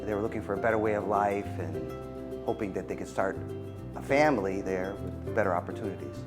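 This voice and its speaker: A middle-aged man, a physician guest, answering interview questions in an earnest, halting way with many fillers. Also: lowish voice, over music. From United States. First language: Macedonian.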